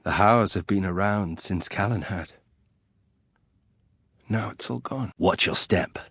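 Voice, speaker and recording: Brooding, low-voiced fantasy nobleman, dry. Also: slow pace, fairly bright tone, husky, no echo, heavily acted. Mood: dry, wry. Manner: dry, sardonic, clipped. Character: brooding, bitter young nobleman turned warrior, proud and sardonic. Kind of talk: fantasy video game voice acting.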